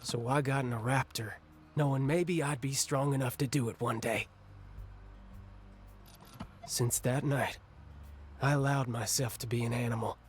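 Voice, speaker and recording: A medium-pitched actor as a young man estranged from family in a dramatic game scene. His delivery is halting and confessional, bitter with anger and shame, over music. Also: fairly even intonation, lightly acted. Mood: bitter, angry. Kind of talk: dramatic video game cutscene acting. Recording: music under the voice.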